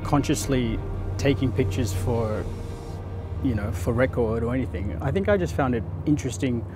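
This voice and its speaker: A man's voice: medium pitch, moderate pace, over music. Earnest man from Fiji, thoughtful. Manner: earnest, thoughtful, repeats words as he thinks. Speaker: photographer and interview guest. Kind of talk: reflective interview answers. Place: outdoor terrace above a city. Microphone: lapel mic.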